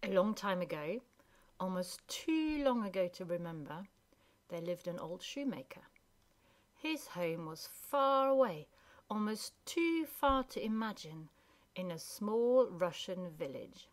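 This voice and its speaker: A woman narrating a children's story as scripted reading, with deliberate pauses between phrases. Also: mid-range voice, very animated.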